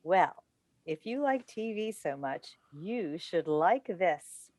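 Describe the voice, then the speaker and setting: A woman's voice: fairly low pitch, lively intonation, takes it slowly, darkish tone, no room sound. A woman playing a driven, needling mother and broadcast advice host in a staged play reading over a video call. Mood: insistent. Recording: dry video call recording.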